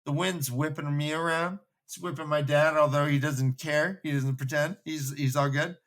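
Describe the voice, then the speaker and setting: A man's voice: a little high, fairly even intonation, normal speed, a bit bright, voice pushed up, close to an everyday voice. A man voicing a nervous young farm lad from a fantasy story, in jittery, slangy talk. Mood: alarmed.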